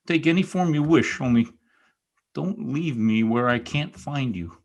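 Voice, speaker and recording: An actor playing an anguished, passionate, brooding lover in an intense, theatrical old-time radio style. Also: male voice, mid-range voice, animated, measured pace. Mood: anguished grief. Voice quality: gravelly, husky. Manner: intense, grief-stricken, theatrical.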